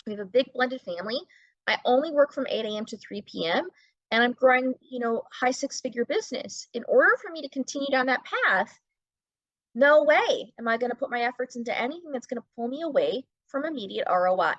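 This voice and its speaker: A middle-aged woman with a fairly high voice speaks conversationally as an interview guest. She drives points home with emphasis and rhetorical questions. The sound is very dry and a little muffled. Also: lively intonation, a little fast, a bit bright, no room sound. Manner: emphatic, asks and answers own questions. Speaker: podcast guest, brand-building business owner and teacher.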